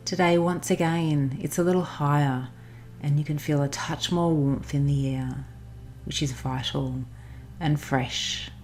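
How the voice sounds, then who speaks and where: Low soft-toned woman leading meditation, mellow n slow, faint music behind. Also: fairly even intonation. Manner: soothing, unhurried instructional delivery with pauses. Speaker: guided meditation host. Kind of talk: guided meditation narration. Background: soft background music.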